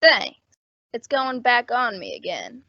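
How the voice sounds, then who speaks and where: A woman's voice: a little high, huge pitch swings, slow, vocal fry, pushed and bright, no echo, soft background music, full character voice. A woman playing a sly, brash, grasping rooming-house landlady with slangy talk in an old-time radio drama. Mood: sly, insinuating. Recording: dull, dry lo-fi audio.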